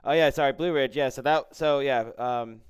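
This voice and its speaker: A man hosting a casual interview, asking questions in a hesitant, halting way and giving short agreeing replies. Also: medium pitch, clear, resonant tone. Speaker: podcast host, interviewer.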